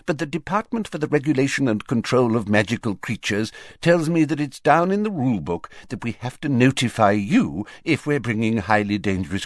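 Man voicing a middle-aged wizard minister, flustered, falsely reassuring, high and sing-song, storybook. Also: dry recording, clean studio sound, close to an everyday voice. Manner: fussy, hurried, falsely reassuring.

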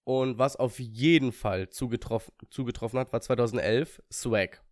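A slightly hoarse young man co-hosting a podcast in casual chat, relaxed, with fillers and short pauses. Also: medium pitch, no echo, clean studio sound. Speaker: podcast co-host.

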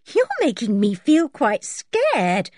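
A woman voicing a lively young girl in a storybook, plaintive and coaxing in a good-natured way. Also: on the high side, takes it slowly, no room sound, clean studio sound, voice pushed up, full character voice.

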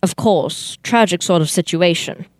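A woman's voice: on the low side, measured pace, a little mellow, no room sound, clean studio sound, close to an everyday voice. A young woman playing a polite, methodical male police detective in an amateur radio play. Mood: formal, incredulous. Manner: formal, questioning. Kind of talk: amateur old-time radio theater acting.

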